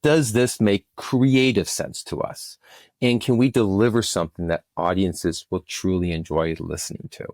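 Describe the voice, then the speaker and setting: A man's voice: low voice, fairly even intonation, normal speed, a little mellow, no echo, studio quality. A man, a producer and podcast guest, talking casually in an interview and explaining his work.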